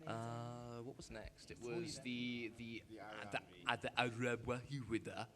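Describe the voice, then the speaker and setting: A man bantering casually on a convention panel, speaking in a hesitant, stammering, excitable way. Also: medium pitch, measured pace, crowd noise. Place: large convention panel room, indoors. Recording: live convention room with audience noise.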